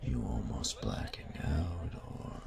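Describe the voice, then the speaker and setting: A man playing a cocky, taunting, domineering young bully in an intimate audio roleplay, speaking in a breathy, gravelly half-whisper with slow, teasing menace. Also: rumbling low, lively intonation, crowd noise, exaggerated voice. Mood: smug teasing. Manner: slow, teasing, menacing delivery with drawn-out pauses.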